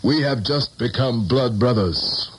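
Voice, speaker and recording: An actor playing a proud, cynical middle-aged politician in a 1970s radio drama, speaking in a measured, self-assured way. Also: male voice, medium pitch, lightly acted. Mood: wry, dismissive. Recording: noisy 1970s radio broadcast tape.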